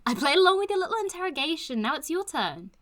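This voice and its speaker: A woman playing a playful, stubborn young podcast host in an audio drama, with a breezy, teasing, expressive delivery. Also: high voice, fast.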